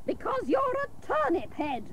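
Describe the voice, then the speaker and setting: An actress playing a bossy, exasperated aunt who scolds and insults theatrically in a comic radio drama. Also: female voice, extremely high pitch, big pitch swings, measured pace, full character voice. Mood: scornful, exasperated. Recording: noisy old cassette of radio broadcast.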